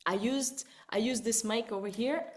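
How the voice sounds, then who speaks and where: Lively woman presenting a webinar. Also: fairly high pitch, moderate pace. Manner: lively, repeats words, asks listeners "right?". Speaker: webinar presenter and vocal coach. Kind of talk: live online webinar demo.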